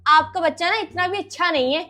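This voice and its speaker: Woman acting a naggy sarcastic big sister, super high voice, tattletale, family skit, soft music behind. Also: fairly quick. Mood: accusing. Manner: sarcastic, complaining. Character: bickering, tattling older sister, sarcastic and accusing. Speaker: actor in a comic skit.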